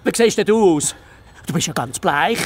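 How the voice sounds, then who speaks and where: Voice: mid-range voice, animated, normal speed, lightly acted. An actor playing a grumbling, impatient fox inspector in a children's audio drama, with a Swiss German accent and sarcastic exclamations. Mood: puzzled. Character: grumpy impatient fox police inspector, adult male.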